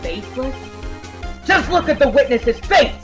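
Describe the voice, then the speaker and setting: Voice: high voice, expressive, unhurried, faint music behind. An actor voicing an earnest, dogged young male defense attorney in an amateur courtroom game dub, arguing assertively. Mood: accusing. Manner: assertive, declamatory argument.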